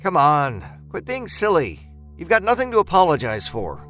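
A man's voice: a little high, huge pitch swings, normal speed, fairly bright tone, faint music behind. A man voicing a teenage grandson in an audio story, soothing and a little dismissive toward his grandmother. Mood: impatient, soothing.

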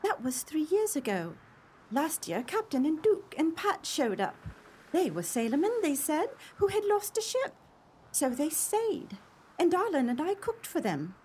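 A woman playing a gentle, wistful young cottage maid in an earnest radio play. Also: high voice, sing-song, a little slow, slight background noise.

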